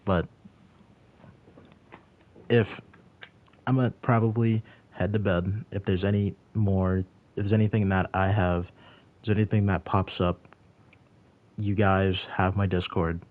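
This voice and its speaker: A man's voice: deep voice, flat delivery, measured pace, pauses often. A man of about twenty chatting casually on a livestream and answering his chat, rambling with lots of "like" and restarts. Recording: tinny phone-like audio.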